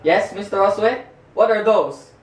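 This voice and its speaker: A man's voice: sits high, normal speed, lightly acted. A young man with a Filipino accent playing a strict history teacher in an amateur puppet show, reciting formally. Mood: formal, stern. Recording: echoey room, distant microphone.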